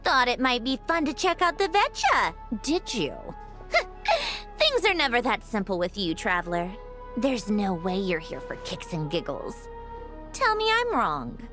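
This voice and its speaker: A woman's voice: a little high, wildly swooping pitch, a little slow, fairly bright tone, soft background music, full character voice. A woman voicing a shrewd, playful female villainous officer in a game, teasing with a drawl. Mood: teasing, amused. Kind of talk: scripted game story dialogue.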